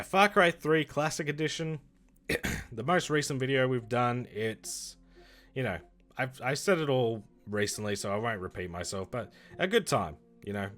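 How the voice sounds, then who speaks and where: A man streaming and chatting casually about games, rambling and correcting himself, with his voice going hoarse. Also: medium pitch. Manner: rambling, self-correcting, lively. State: losing his voice. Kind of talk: casual livestream chat reviewing games.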